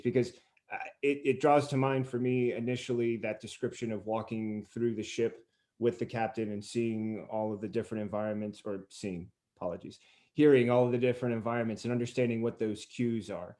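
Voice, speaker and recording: A man's voice: mid-range voice, a little mellow. A man hosting a conversational interview, putting questions in a wordy, roundabout way. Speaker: interviewer, podcast host.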